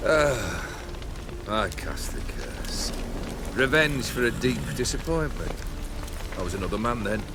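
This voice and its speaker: A man's voice: medium pitch, very animated, measured pace, very noisy. An actor playing an arrogant, embittered nobleman who leads a bandit band in a video game, speaking in sardonic, archaic phrases. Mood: wry, confessional. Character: haughty, embittered male nobleman leading a bandit company.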